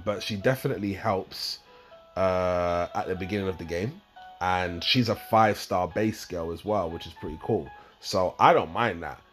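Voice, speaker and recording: A man with a deep, slightly croaky voice talks viewers through a game tutorial. He rambles casually and explains each step with plenty of fillers. Soft music plays faintly underneath. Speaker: gaming channel host.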